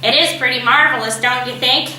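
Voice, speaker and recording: A proud, easygoing young boy who talks in a matter-of-fact way, as a woman reading aloud puts him on. His voice comes out bright and clear in an echoey room, heard from a distance. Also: high voice, brisk, a little hiss or hum.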